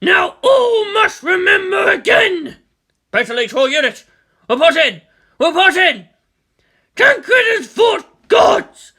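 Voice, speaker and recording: A woman voicing a proud, wrathful, zealous giant war machine, bellowing slow, drawn-out battle declarations. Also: very high voice, big pitch swings, bright, forceful tone, pitched above the performer's own voice, heavily acted. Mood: wrathful, triumphant.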